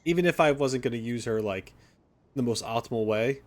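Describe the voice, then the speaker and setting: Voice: medium pitch, a little fast. A streamer giving casual, reactive game commentary in a blunt, sarcastic way, swearing and talking back at the characters. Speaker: gaming streamer.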